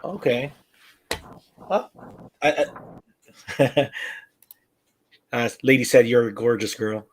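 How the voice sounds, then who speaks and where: Slow, hesitant Filipino man hosting. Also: medium pitch, breathy edge. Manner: hesitant, mixes Tagalog words. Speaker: podcast host. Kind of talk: interview questions.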